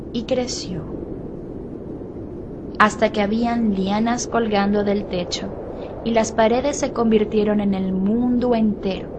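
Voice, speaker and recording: A woman narrating a scripted children's story with dramatic pauses and drawn-out phrases. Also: mid-range voice. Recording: faint background noise.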